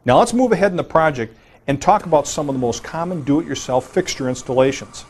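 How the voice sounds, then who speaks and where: A man hosting a home improvement show, giving plain, steady step-by-step instructions as he demonstrates the work. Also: on the high side, fairly quick, slight background noise.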